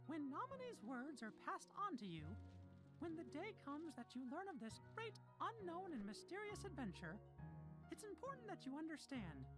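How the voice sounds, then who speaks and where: A small, sincere game hero telling a story. The voice is high and very melodic, with heartfelt delivery. Music swells clearly behind the narration. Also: female voice. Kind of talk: scripted game cutscene narration.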